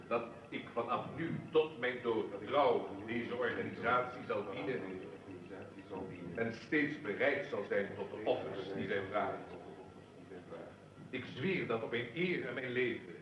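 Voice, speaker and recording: An actor playing a commanding Serbian colonel and secret society leader in a historical radio drama, solemn and deliberate. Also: male voice, mid-range voice, crowd noise, close to an everyday voice, low-quality recording.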